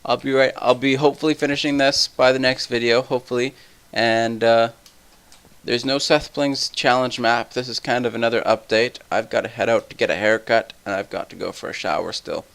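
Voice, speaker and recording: A tired young man with a somewhat deep voice, rambling through casual gameplay commentary full of tangents. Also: fairly even intonation, fairly quick, vocal fry, a bit bright, smooth. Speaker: let's play host.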